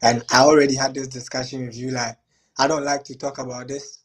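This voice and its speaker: A man's voice: mid-range voice, level tone, fairly quick. A young man from Ghana, in his late twenties, speaks as a show guest in a mid-range voice. His talk is loose and informal, packed with "like" and "bro." He sounds easygoing from start to finish. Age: 20s. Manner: laid-back, slangy, many "like" and "bro" fillers. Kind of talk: casual personal story.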